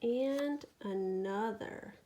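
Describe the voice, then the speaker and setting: A woman's voice: mid-range voice, drawn-out words, mellow, a little hiss or hum. A woman reading a children's picture book aloud like a teacher, with dramatic storytelling and questions to her listener.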